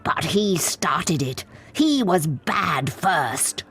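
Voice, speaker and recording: A woman's voice: fairly low pitch, expressive, very slow, fairly bright tone, full character voice. A woman playing a childlike, disturbed asylum patient in a video game, plaintive and confiding. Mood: hushed, resentful. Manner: childish, plaintive, drifting into breathy confiding whispers. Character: childlike disturbed female asylum patient devoted to her doll.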